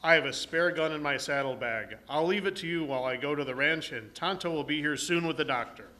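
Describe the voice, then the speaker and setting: A man's voice: on the high side, brisk, bright, forceful tone, slight background noise. A man playing a heroic, steadfast masked lawman in an old-time Western radio drama, speaking with firm authority. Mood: reassuring, concerned.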